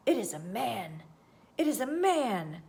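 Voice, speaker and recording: A woman voicing an excitable male ape man creature who gabbles and repeats himself in an audiobook. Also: a little high, takes it slowly, creaky, voice pushed up, heavily acted. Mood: excited. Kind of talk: audiobook character voice.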